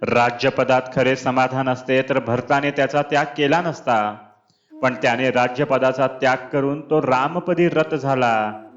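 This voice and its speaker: A man reads a scripted spiritual discourse aloud in measured, deliberate phrases. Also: mid-range voice, fairly even intonation, lo-fi sound. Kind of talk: scripted devotional discourse reading. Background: clear background music.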